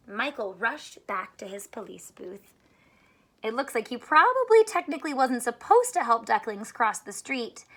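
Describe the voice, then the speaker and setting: A woman with a high, bright voice reads a children's storybook aloud as a teacher would. Her pitch moves in lively sweeps. She speaks straight to young listeners, putting questions to them along the way. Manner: expressive, questioning, talks directly to young children. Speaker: elementary school teacher reading aloud to students. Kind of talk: animated children's storybook read-aloud with asides.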